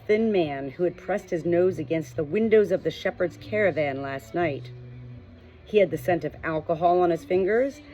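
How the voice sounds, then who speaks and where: A woman's voice: lowish voice, warm tone. A woman reading an audiobook as scripted story narration. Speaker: audiobook reader. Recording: muffled, with music behind. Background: music.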